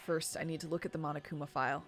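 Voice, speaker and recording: Lowish female voice, matter of fact lets play reading, bit of music behind. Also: little pitch movement, racing, a bit bright. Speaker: let's play co-host. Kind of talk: reading game dialogue aloud, casual commentary. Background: game music.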